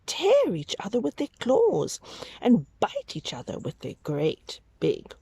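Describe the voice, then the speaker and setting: A woman reading a picture book aloud to young children, with dramatic, drawn-out emphasis and hushed whispery moments. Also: mid-range voice, huge pitch swings, creaky, breathy edge, no echo.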